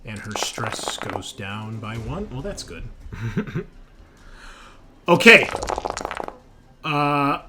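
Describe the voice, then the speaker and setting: A man's voice: medium pitch, sing-song, drawn-out words, long pauses, noisy background. A man acting as game master for a tabletop roleplaying game, thinking aloud as he narrates and rules on the action. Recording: background music under voice.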